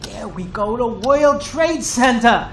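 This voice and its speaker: A woman playing a quick-witted, irritable, tough pilot, thinking aloud with salty language in an action audio drama. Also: on the high side, lively intonation, fairly bright tone, exaggerated voice. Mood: excited. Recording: echoey room, faint noise.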